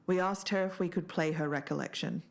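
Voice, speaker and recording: A low-pitched woman speaks as a podcast co-host. She narrates findings and reads quoted material to the listener in an even, low register. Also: brisk, gravelly, very noisy.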